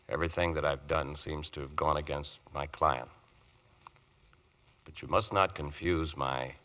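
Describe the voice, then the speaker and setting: An actor playing a weary, self-reproaching defence barrister who speaks haltingly in an old radio drama. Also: male voice, rumbling low. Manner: halting, faltering with repeated words. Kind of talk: 1940s radio drama acting. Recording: dull lo-fi old radio recording.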